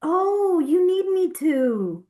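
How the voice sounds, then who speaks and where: A woman's voice: very high-pitched, very animated, very slow, warm tone, exaggerated voice. A woman playing an erratic, hostile, foul-mouthed patient in a drama table read, confrontational and switching between Spanish and English. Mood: furious, agitated.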